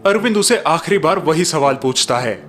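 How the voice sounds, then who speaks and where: A man's voice: a little high, lively intonation, normal speed, a bit bright. A man narrating a cartoon story in a scripted storytelling style.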